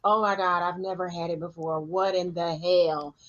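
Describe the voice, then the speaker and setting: Animated, bright-voiced woman co-host. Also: on the low side, moderate pace, dull audio. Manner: animated, loud storytelling. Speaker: podcast co-host. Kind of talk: casual podcast chat.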